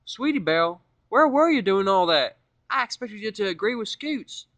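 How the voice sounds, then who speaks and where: A man's voice: high-pitched, very animated, normal speed, a bit bright, no room sound, voice pushed up. A man voicing a feisty, friendly young female pony in a story reading. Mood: questioning.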